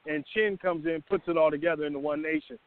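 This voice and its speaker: A man in a casual group discussion, talking in a relaxed, conversational way. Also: on the high side, fast, no echo. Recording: dry tinny phone-like line.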